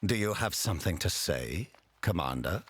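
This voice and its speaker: A cold, imperious fantasy ruler, low and husky. His speech is slow and deliberate, swinging theatrically in pitch as he heaps disdain on underlings. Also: animated, fairly bright tone, exaggerated voice. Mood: cold, stern. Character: imperious ruler lording over his commanders, scornful and cold. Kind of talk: dramatic fantasy game acting.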